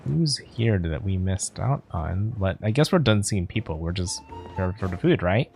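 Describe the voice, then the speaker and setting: A woman's voice: rumbling low, lively intonation, moderate pace, creaky, darkish tone, faint music behind. A woman streaming a video game, giving casual commentary and reading some dialogue aloud.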